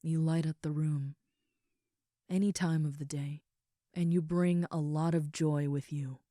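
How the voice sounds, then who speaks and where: A woman playing a caring, affectionate young ogre roommate in an intimate comfort roleplay, speaking softly and sincerely in a rambling, reassuring way. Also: low-pitched, steady tone, unhurried, no echo, studio quality. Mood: tender, sincere.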